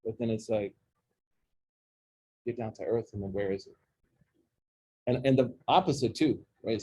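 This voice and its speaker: A man's voice: mid-range voice, warm tone, slight background noise, rough recording. A man in his forties from Oklahoma with an Oklahoma accent, leading an informal talk with students and asking them questions. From Oklahoma, USA. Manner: chatty, jokey, asks the audience questions. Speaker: imam leading a student session. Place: campus center room with a student group.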